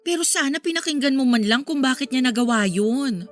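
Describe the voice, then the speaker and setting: Casting: actress for dramatic, protective Filipina best friend, very high voice, swooping emphatic audio drama delivery. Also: female voice, a little fast, soft background music. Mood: probing. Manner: expressive, incredulous, emphatic. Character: protective, dramatic female confidante, easily scandalized.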